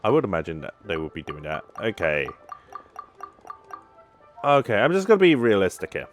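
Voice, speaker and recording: A man's voice: fairly low pitch, wildly swooping pitch, moderate pace. A man giving casual live commentary as host of a gaming video. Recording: game music behind the voice.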